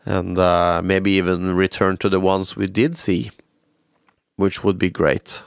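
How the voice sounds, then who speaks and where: A man's voice: low-pitched, flat delivery, unhurried, creaky, smooth, no room sound, clean studio sound. A man hosting a podcast, telling news in a rambling way with many fillers, sounding nasal from a stuffy nose due to a cold. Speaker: podcast host and band musician. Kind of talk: solo podcast update.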